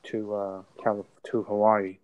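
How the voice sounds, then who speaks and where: A man's voice: fairly low pitch, completely flat delivery, unhurried, a little mellow, lo-fi sound, a little hiss or hum. Chatty inquisitive man in a group call. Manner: chatty, asks lots of questions. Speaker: regular hangout participant. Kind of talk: casual online group chat.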